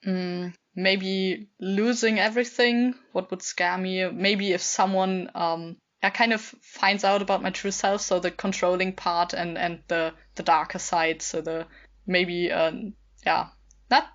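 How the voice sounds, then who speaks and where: A woman's voice: fairly low pitch, little pitch movement, measured pace, a bit bright. Woman, interview guest, tentative, thinking aloud, casual, dull audio. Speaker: audio play cast member, podcast guest.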